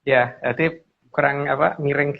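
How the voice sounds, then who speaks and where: A man's voice: a little high, fairly even intonation, measured pace, vocal fry. A man with a Javanese accent explaining things casually and hesitantly, often qualifying what he says. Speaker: regular guest speaker explaining lore. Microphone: online video call. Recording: dull, muffled call audio.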